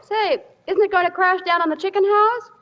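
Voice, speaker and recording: A woman's voice: very high-pitched, steady tone, fairly quick. A woman playing a skeptical, wry American farm wife in an old-time radio comedy, exclaiming and drily needling. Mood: doubtful. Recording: noisy, dull old radio recording.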